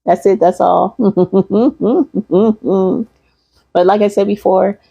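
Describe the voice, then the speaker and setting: A woman's voice: mid-range voice, steady tone, extremely slow, gravelly, dark tone, breathy edge, no room sound, lo-fi sound. A woman from Michigan, a holistic practitioner, wrapping up her livestream class in a rambling, repeating way and talking to viewers. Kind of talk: casual livestream class wrap-up.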